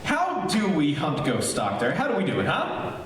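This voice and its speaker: A glib, skeptical radio broadcaster, acted by a man in a 1940s-style radio play, with a highish, lively voice. He chats in halting asides to the folks at home, heard from a distance in a very reverberant room. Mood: jokey, nervy. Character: jokey, skeptical male radio broadcaster on a ghost hunt. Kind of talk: 1940s radio acting, live on-location commentary. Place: echoey hall, stage-style radio play performance. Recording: distant, very echoey room with faint noise.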